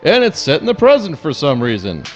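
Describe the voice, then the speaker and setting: A man's voice: on the high side, wildly swooping pitch, brisk, dull audio. A man playing a bombastic, wry movie trailer announcer in a parody.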